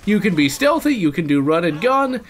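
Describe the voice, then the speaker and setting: A man's voice: sits high, big pitch swings, normal speed. A man delivering a scripted video game review as a voice-over, opinionated, with theatrical stress on key words.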